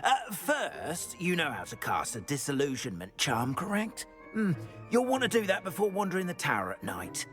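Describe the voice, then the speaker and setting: An anxious, theatrical caretaker in a fantasy game. His high voice lurches dramatically up and down as he fusses and hesitates through wordy, old-fashioned phrases. Music plays clearly behind him. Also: huge pitch swings, normal speed, a bit bright. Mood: flustered, eager. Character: anxious, flustered, theatrical male school caretaker. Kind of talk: video game character dialogue.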